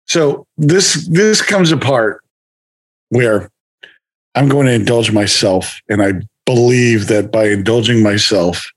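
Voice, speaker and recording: A man's voice: medium pitch, takes it slowly. A man hosting a podcast, asking questions in a loose, enthusiastic, rambling way full of fillers. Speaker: podcast host. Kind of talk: casual podcast chat.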